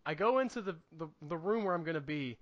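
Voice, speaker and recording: A man co-hosting a podcast in casual comedic chat, telling stories in an emphatic, animated way with repetition for effect. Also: on the high side, fast, muffled recording. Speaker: podcast co-host.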